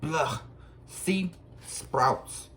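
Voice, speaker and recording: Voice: mid-range voice, very slow, fairly bright tone. A reader voicing a casual, blunt young schoolboy in a storybook, close to the reader's own voice. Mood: offhand.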